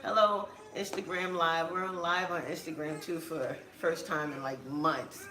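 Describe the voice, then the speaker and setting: A young woman co-hosting a casual talk show, her voice hoarse and cracking, chatting with many fillers and restarts. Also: deep voice, fairly even intonation, unhurried, echoey room, rough recording. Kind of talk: casual chat.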